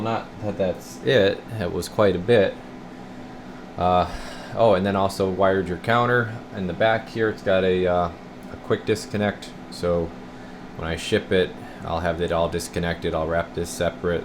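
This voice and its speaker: A man speaking as a radio repair technician, giving a casual, folksy hands-on walkthrough to camera in an informal way. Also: deep voice. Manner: folksy, informal, addressing viewers as guys. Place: indoor radio repair workshop. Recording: clear steady room noise.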